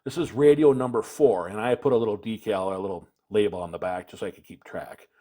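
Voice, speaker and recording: A man's voice: on the low side, fast talker, mellow. A man giving a casual hands-on product demonstration, explaining things plainly and step by step. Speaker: product reviewer, video presenter.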